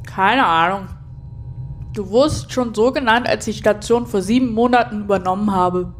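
A woman's voice: mid-range voice, normal speed, slight background noise, close to an everyday voice. A woman playing a blunt, sarcastic, easily exasperated space station captain in a sci-fi audio drama. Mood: dry, dismissive. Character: sardonic, irritable female space station captain.

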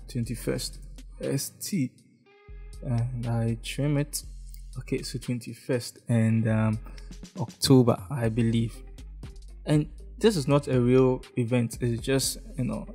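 A young man from Ghana with a Ghanaian English accent, talking step by step through a screen-recorded design tutorial and often checking in with "okay?". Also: mid-range voice. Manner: relaxed, step-by-step, frequent "okay?" check-ins. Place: indoor room, face in webcam corner.

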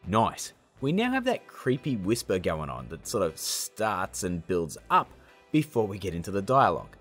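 A man's voice: low voice, huge pitch swings, normal speed, fairly bright tone, breathy edge, soft background music. A man presenting a casual how-to tutorial in an upbeat, chummy manner. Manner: upbeat, chummy, addressing viewers as guys and gang. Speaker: tutorial host.

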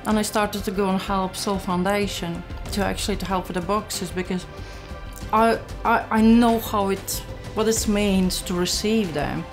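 A woman in her thirties with a Latvian accent, giving a candid, halting personal testimony. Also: medium pitch, fairly even intonation. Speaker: church member giving testimony. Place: small living room. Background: background music.

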